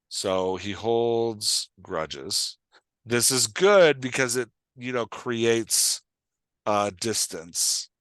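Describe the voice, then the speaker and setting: A man hosting a casual coaching conversation, explaining in an animated way and often checking that he is understood. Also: on the low side, dry recording. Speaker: podcast host and writing coach.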